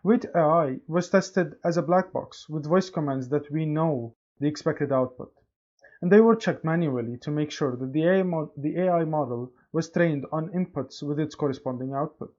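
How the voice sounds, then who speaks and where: A man's voice: fairly high pitch, moderate pace, a little mellow. A young man, a student presenter, reading a scripted technical report. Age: young adult. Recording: slightly dull, lo-fi microphone.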